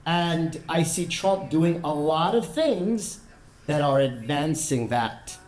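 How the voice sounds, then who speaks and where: An American man gives a measured, explanatory talk to a gathering, pausing now and then with small fillers. His voice sits a little higher than most men's and rings through an echoey room. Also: animated, a little mellow. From United States. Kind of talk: public talk with discussion.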